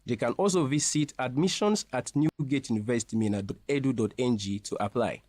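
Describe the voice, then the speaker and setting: A man speaking as a representative in a scripted promotional interview, explaining things in a formal, measured way. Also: mid-range voice, darkish tone, no echo, studio quality. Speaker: university representative being interviewed.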